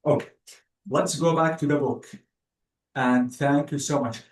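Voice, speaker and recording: A man teaching an English class online, speaking slowly and patiently and praising the student often. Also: mid-range voice, fairly even intonation. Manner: slow, patient, spells out word endings, praises with "bravo". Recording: echoey, distant room sound.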